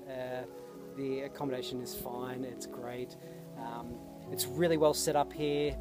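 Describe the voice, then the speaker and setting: A man talking casually and earnestly to camera outdoors, reflecting with enthusiasm. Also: medium pitch. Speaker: vlogger and permaculture intern. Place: outdoors at a desert garden site. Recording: loud music behind the voice.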